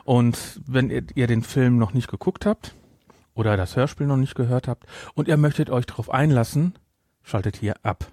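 A middle-aged man chats as a podcast host. His voice is dark and husky, recorded very dry. He gives his views casually, repeating points for emphasis. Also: medium pitch, normal speed, dry recording.

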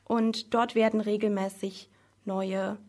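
A woman's voice: medium pitch, little pitch movement, slow, darkish tone. A teenage girl answering interview questions as a student representative, explaining things earnestly in simple language. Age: teens.

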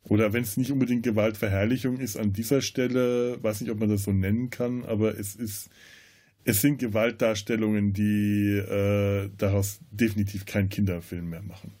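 Male podcast host, low pitch, husky tone, casual film talk, halting phrasing. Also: little pitch movement, dark tone. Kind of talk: casual film discussion with scene retelling.

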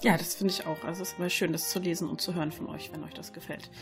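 A woman's voice: lowish voice, steady tone, racing, music in the background. A woman co-hosting a podcast, speaking casually as she explains and announces things. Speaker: podcast co-host. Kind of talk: casual chat, announcing contest details.

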